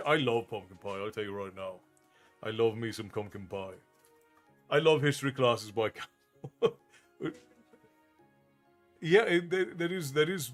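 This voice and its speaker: A man in his thirties from Ireland with an Irish accent mixed with American, chatting casually with viewers as a streamer and thanking them often. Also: on the high side.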